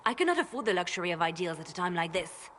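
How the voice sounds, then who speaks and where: A woman's voice: on the low side, big pitch swings, fast talker, a bit bright, slight background noise. An actress playing a ruthless, pragmatic woman spymaster in a fantasy video game, cold and controlled in manner. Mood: stern, defensive.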